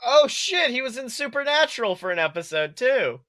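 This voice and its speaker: A man talking casually as a podcast co-host, excitable and exclamatory, swearing freely as he goes. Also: extremely high pitch, a little fast, dry recording, studio quality. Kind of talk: casual chat about an animated film.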